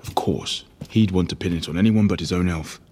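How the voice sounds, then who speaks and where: A man playing a brooding, sharp-witted fugitive wizard in an audiobook drama, questioning in a deliberate, probing way. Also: low-pitched, noisy background, natural voice. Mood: grim, knowing. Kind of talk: full-cast audiobook drama.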